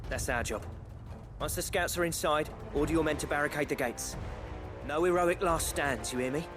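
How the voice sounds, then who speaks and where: Game sidekick: man playing matey working-class English fighter, fairly high voice, wry, colloquial, music behind. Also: normal speed, close to an everyday voice. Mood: wry, urgent. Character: chummy, plain-spoken male fighter and comrade. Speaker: voice actor in a game cutscene. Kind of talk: dramatic game acting.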